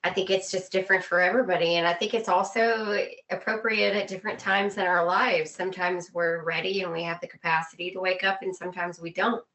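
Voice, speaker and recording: A woman's voice: fairly low pitch, fairly even intonation, fairly quick, hardly any pauses, fairly bright tone, poor audio. A woman in casual conversation as a podcast guest, reflective and often rephrasing her points.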